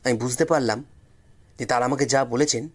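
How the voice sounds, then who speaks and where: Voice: mid-range voice, brisk. A storyteller narrating a suspense tale in the first person, in a dramatic audio-story style.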